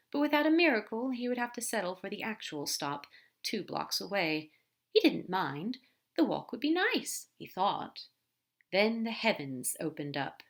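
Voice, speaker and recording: A woman narrating a fan fiction story aloud in a gentle, even storytelling way. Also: medium pitch. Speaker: podfic reader.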